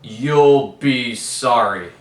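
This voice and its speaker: A man's voice: mid-range voice, drawn-out words, distant mic. A man doing a stern, mean substitute teacher. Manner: loud and commanding. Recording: echoey room, away from mic.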